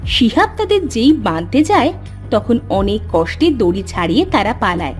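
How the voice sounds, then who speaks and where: A woman's voice: a little high, very animated, normal speed, soft background music. A woman reading scripted narration for a cartoon story.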